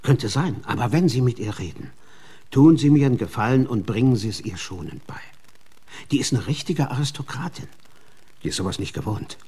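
Evasive showman, radio western, husky voice, lively intonation, persuasive, exasperated dealmaker. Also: mid-range voice, brisk. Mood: protective, earnest. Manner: exasperated, wheedling, slick dealmaker. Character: evasive, persuasive showman running a touring show in the Wild West. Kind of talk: German radio play acting.

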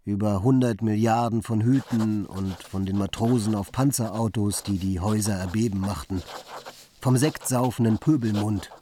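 A man's voice: on the low side, fairly even intonation, moderate pace, dark tone, slight background noise, lightly acted. An actor playing a wry young bohemian man who recounts his wanderings in a musing literary radio monologue. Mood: reflective, dry.

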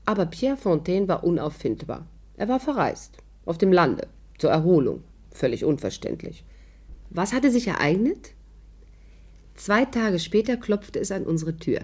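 A dryly amused woman narrating her escapades in a fifties radio drama. Her voice sits fairly low, and she tells things briskly with wry understatement. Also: sing-song, a little mellow, slightly rough, heavily acted. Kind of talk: 1950s German radio drama narration and dialogue.

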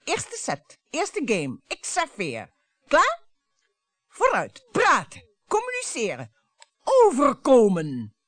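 A bitter middle-aged wife in a radio drama. She is mocking and theatrical, taunting her husband with a lively, swooping delivery. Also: sits high, takes it slowly, no echo, full character voice. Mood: playfully commanding. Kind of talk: radio play acting.

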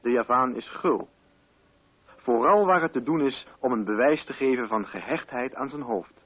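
Male narrator for literary radio drama: medium pitch, husky edge, measured formal delivery, slightly muffled archive sound.

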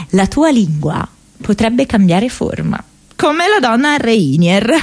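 An actress playing an earnest, quirky young woman activist in a radio monologue, hesitant and rambling, often stammering and repeating herself. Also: medium pitch.

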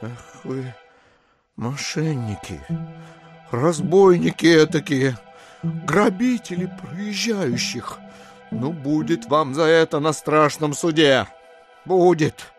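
An actor in a theatrical audio drama playing an irate, bullying gentleman who scolds his servant with indignant, sharp questioning. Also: on the high side, expressive, slow, long pauses, soft background music. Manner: blustering, indignant, peppering speech with sharp questions.

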